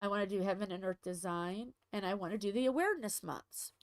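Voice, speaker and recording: A woman's voice: mid-range voice, quick pace, no echo. A middle-aged woman hosting a diamond painting livestream, chatting casually and rambling as she thinks aloud with many fillers, a little stuffy.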